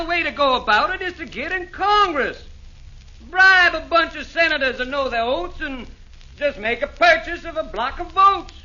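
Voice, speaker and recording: An actor playing a scheming demon in a 1940s radio play, speaking. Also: male voice, extremely high pitch, sharp tone, dull audio, full character voice. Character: Southern slave-driver demon Legree, scheming.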